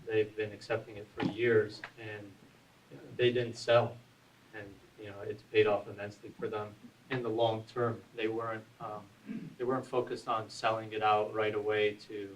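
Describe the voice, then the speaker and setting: A man answering on a forum panel in a halting way, pausing with ums and "you know". His voice is fairly low, heard from a distance over a faint background hiss. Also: little pitch movement. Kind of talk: panel discussion.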